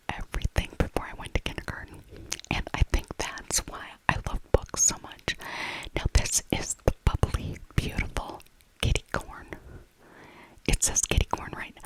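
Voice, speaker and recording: A woman speaking in a breathy, unvoiced whisper, chatting directly to the listener in an ASMR shopping voiceover. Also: poor audio. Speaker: ASMR creator.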